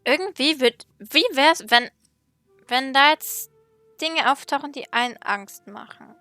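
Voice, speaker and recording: Bright, high-voiced woman commenting on gameplay. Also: unhurried, faint music behind. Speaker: gaming video creator. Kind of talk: game playthrough commentary.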